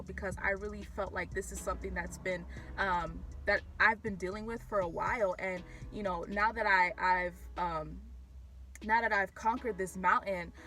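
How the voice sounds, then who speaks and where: A woman's voice: medium pitch, sharp tone. A young woman born in Haiti and raised in Florida, telling her personal faith story in a casual, candid vlog, with many fillers and restarts. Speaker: vlogger.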